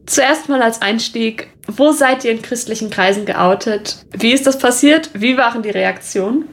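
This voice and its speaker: A young woman hosting a podcast, opening the episode and introducing the guests in a measured, orderly way. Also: on the high side. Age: young adult. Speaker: podcast host. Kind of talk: podcast hosting, partly read from script.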